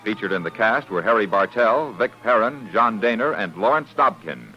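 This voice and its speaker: A man's voice: on the low side, expressive, moderate pace. An American man announcing in a scripted, dramatic 1950s radio style. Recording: muffled vintage radio recording, music behind.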